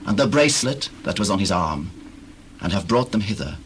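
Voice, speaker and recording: Low-voiced, subdued young battlefield messenger played by a man. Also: lively intonation, fairly quick, a bit bright. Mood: grave, subdued. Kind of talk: dramatized scripture, audio drama. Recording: noisy old cassette tape recording.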